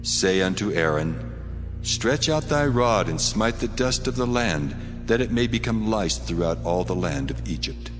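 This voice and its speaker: A man playing a majestic, solemn God giving grave, measured commands in a dramatized scripture recording. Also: lowish voice, expressive. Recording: long hall reverb, prominent music.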